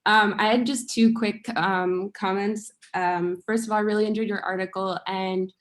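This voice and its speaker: An American woman from the audience asking a question in an academic discussion, hesitant, with many ums, and trailing off softly. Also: mid-range voice, steady tone, normal speed. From United States.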